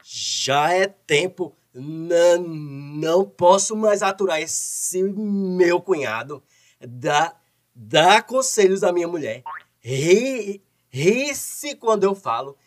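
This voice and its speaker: A very slow, badly stuttering son in a radio farce. He blusters and threatens, tripping over syllables again and again. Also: sits high, expressive. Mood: indignant, blustering. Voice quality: heavy stutter. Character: stuttering, indignant henpecked son, a blustering brother-in-law. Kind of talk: radio soap opera comedy acting.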